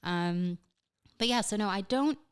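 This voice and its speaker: A woman's voice: medium pitch, measured pace, clear, resonant tone. A young woman chatting as a podcast guest, upbeat and talkative, laughing often and restarting her sentences. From United States. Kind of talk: casual conversational interview.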